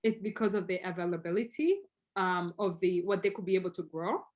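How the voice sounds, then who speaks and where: A young Tanzanian woman, Swahili her first language, speaking as an interview guest in an animated, explanatory way with many fillers. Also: lowish voice, a little fast. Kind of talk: conversational interview.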